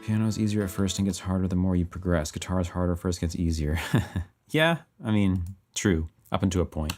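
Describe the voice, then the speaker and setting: A man's voice: low-pitched, a little fast. A man in his thirties hosting a music livestream, chatting casually and laughing as he reads comments and works. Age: 30s. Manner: relaxed, laughing, thinking aloud while working. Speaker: livestream host composing music. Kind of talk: casual livestream chat, reading viewer comments. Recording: faint music playing behind, keyboard typing.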